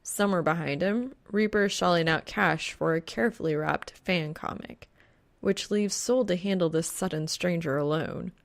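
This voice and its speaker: A woman's voice: lowish voice, normal speed, vocal fry. A woman reading a piece of fan fiction aloud as scripted audiobook narration.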